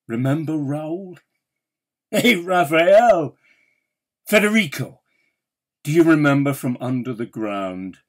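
A man, an actor, reciting a poem dramatically in a measured, theatrical way with emphatic pauses. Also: medium pitch.

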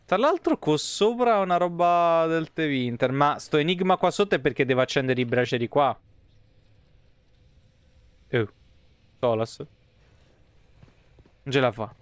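A man's voice: on the high side, lively intonation, measured pace, long pauses, clear, resonant tone, no room sound. A man, muttering casual, foul-mouthed commentary as he streams a game. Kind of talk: casual live gameplay commentary. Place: small indoor room, streaming setup.

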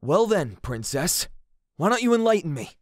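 Man playing a sullen teenage prince, animated delivery. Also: high voice, normal speed, no echo, clean studio sound. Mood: bitter. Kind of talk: audio drama acting. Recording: music under the voice.

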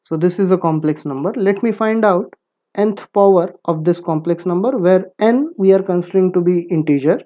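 A woman lecturing in mathematics, explaining step by step in a deliberate, instructive manner and posing questions that she answers herself. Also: lowish voice, dark tone. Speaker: physics lecturer.

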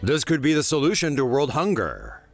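A man reading a scripted news voice-over in a lively, wry manner. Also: a little high, normal speed, gravelly, soft background music. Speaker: news narrator.

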